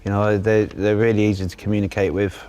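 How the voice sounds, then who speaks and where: A British man in middle age speaks in a fairly low register. He answers in a casual, reflective interview style, using everyday conversational language. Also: level tone, quick pace, a little mellow, clean tone. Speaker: housing tenant being interviewed.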